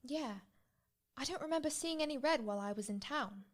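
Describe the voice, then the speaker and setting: A woman playing a sarcastic, short-tempered female prisoner in a tabletop roleplay, speaking in sardonic, deliberate phrases. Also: high-pitched, expressive.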